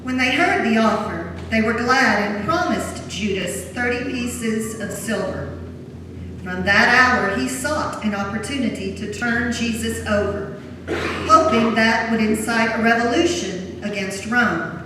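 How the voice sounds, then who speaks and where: A woman reading as the solemn narrator of a Gospel drama, in formal, measured storytelling. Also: on the high side, close to an everyday voice. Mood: solemn narration. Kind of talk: scripted dramatic scripture narration. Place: large church during a service. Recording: very echoey church hall, distant mic, noisy.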